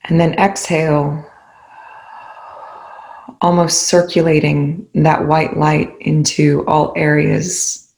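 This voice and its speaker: Low-pitched, even-toned woman guiding meditation. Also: creaky, poor audio. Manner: slow, measured phrases with pauses. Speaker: meditation guide. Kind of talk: guided meditation.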